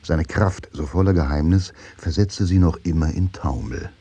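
A man narrating a German radio play from a script in a measured, brooding and intense dramatic style. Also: low voice, mellow, slightly rough, dry recording.

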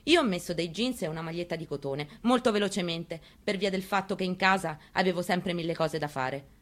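A woman's voice: fairly low pitch, fairly quick, lightly acted. A woman performing a dramatic monologue reading as a haunted, plainspoken mother recounting her story. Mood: plain recollection. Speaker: actress in a staged reading.

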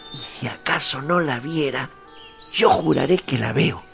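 An actor playing a wily, flustered peasant squire who wheedles and stammers in a radio drama. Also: male voice, mid-range voice, a little slow, vocal fry, a bit bright, soft background music. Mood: scheming.

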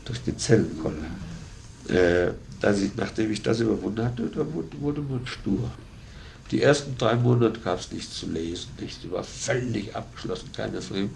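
Gravelly older man on noisy tape. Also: fairly low pitch, lively intonation, darkish tone. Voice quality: gravelly, creaky. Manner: halting, fragmentary. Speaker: former prisoner interviewed. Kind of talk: spontaneous recollection in an archive interview. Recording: noisy archive interview tape.

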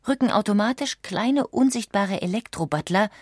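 A woman reading scripted documentary narration in a measured, articulate way. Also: medium pitch, lively intonation, darkish tone, dry recording, clean studio sound. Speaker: audiobook narrator.

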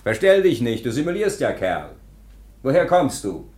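An actor playing a gruff, impatient nineteenth-century police sergeant in a radio drama, brusque and commanding. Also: male voice, medium pitch, very animated, moderate pace, darkish tone, natural voice. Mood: stern, threatening. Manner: brusque, commanding, officially clipped. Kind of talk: German radio drama acting.

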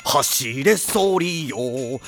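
Casting: a boisterous high school jock, very animated pitch, anime audio drama. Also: male voice, drawn-out words, faint music behind. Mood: rowdy. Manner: boisterous, casual rough speech. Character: brash, rowdy teenage boy volleyball player.

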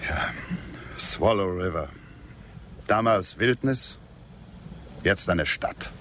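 An actor playing a calm, nostalgic Western frontiersman in a German radio drama, plain-spoken and pensive. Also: sits low, slow, slight background noise, full character voice.

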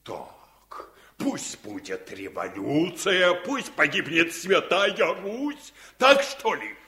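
Blustering, high-voiced arrogant factory boss. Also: male voice, sing-song, takes it slowly, bright, forceful tone, echoey room, heavily acted. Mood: scornful. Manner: blustering, sneering, scornful. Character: arrogant, greedy male factory owner, contemptuous and blustering. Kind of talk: Soviet radio play acting.

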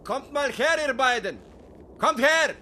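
An actor playing a commanding Apache man who gives terse, gruff orders to a boy in a radio drama. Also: very high voice, lively intonation, a little slow, bright, forceful tone, a little hiss or hum, full character voice. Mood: calling out urgently.

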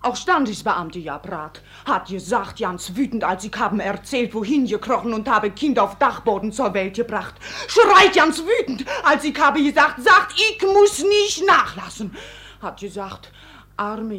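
A woman's voice: a little high, measured pace, fairly bright tone. An actress playing a wronged, stubborn young servant mother in a stage drama, speaking broken German in plaintive outbursts. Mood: sullen, defiant. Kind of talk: theatrical naturalist stage drama acting.

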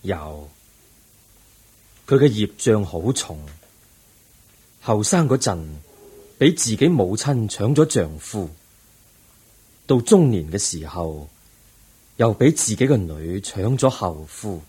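An actor playing a calm, all-knowing Buddha in a Cantonese radio drama, solemn and compassionate, with a breathy voice and a Hong Kong accent. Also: male voice, lowish voice, takes it slowly, pauses often, full character voice.

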